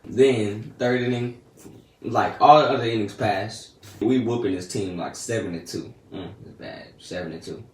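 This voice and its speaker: A teenage boy casually telling a story about his day in slangy, laid-back talk that often trails off soft and quiet. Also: lowish voice, normal speed, distant mic. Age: teens. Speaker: vlogger. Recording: echoey room, a bit off-mic, faint noise.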